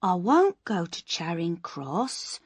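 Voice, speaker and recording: A woman voicing a hopeful, struggling actress who talks with eager, breathless brightness. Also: on the low side, big pitch swings, slow pace, breathy edge, dry recording, full character voice.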